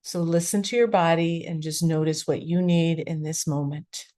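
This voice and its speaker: A woman teaching a guided meditation, giving gentle, unhurried instructions with long pauses between phrases. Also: low-pitched, steady tone.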